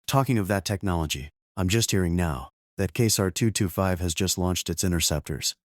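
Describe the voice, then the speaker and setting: A man playing a serious, measured TV news co-anchor reporting live in a disaster drama. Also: low-pitched, no echo, clean studio sound, natural voice. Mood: sober, tense. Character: sober male TV news co-anchor facing catastrophe.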